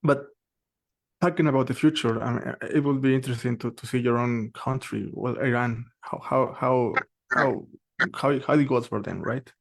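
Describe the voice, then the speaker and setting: A male interviewer who builds his questions slowly, stumbling over and repeating words as he goes. His voice is at a middling pitch for a man. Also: fairly even intonation, vocal fry. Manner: halting, repeats words while forming questions.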